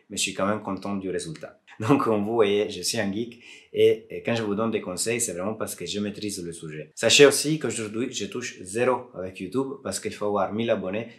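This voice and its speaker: A man's voice: fairly low pitch, normal speed, hardly any pauses. A man from Italy speaking French with an Italian accent, not his native language, chatting casually to camera as a tech YouTuber, friendly and joking with hesitations.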